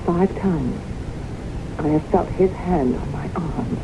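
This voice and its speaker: A woman's voice: low voice, slow. An actress playing a haunted, fearful, romantic woman of forty-one in an earnest, dramatic radio play style. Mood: anguished. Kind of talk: 1970s radio drama acting. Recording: muffled, noisy old radio broadcast.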